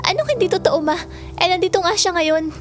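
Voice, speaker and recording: A woman's voice: very high-pitched, lively intonation, a little fast. An actress playing an earnest young girl in a Filipino audio drama. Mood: eager, puzzled. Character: earnest young girl insisting her imaginary friend is real. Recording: music under the voice.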